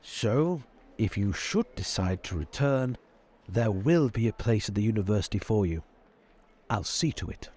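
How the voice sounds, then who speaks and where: A man's voice: on the low side, animated, measured pace, a little mellow, slightly rough. A man playing an earnest, patronizing older university professor who speaks formally and hesitantly, repeating his words, in tabletop roleplay. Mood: resigned, kindly.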